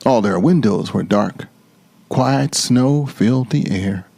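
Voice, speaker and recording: A man reading a children's storybook dramatically, with theatrical, drawn-out emphasis. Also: lowish voice, creaky, dark tone, breathy edge.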